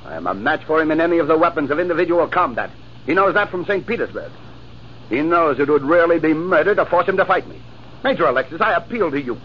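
A man's voice: on the high side, expressive, quick pace, natural voice. Guy doing a huffy proud captain in an old radio play, voice swings up and down, kinda muffled. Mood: indignant. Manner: indignant, declamatory. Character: proud male army captain, hot-tempered. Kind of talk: 1940s radio acting. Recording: muffled old radio broadcast with faint hiss.

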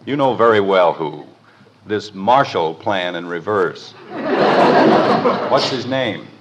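A man's voice: low voice, animated, takes it slowly. An actor playing a middle-aged American father, dry, sarcastic and exasperated, in a 1950s radio sitcom. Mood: dry, probing. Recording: muffled, noisy old radio recording.

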